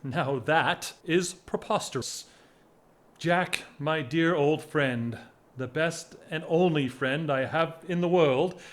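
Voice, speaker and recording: A man performs a restless, whimsical gentleman of title in an audio drama. His voice sits a little high for a man and moves very slowly through wordy, mock-formal phrases. The delivery is theatrical and teasing. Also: a little hiss or hum, close to an everyday voice. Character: whimsical, restless English gentleman, an aristocrat in love. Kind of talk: stage-style audio drama acting.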